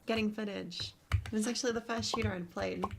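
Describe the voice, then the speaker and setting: A woman's voice: mid-range voice, fairly quick, vocal fry. Aussie woman streamer rambling about games, lots of laughs and ums, hiss under the mic. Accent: Australian. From Australia. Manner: laughs often, relaxed rambling with fillers. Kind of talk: casual chat.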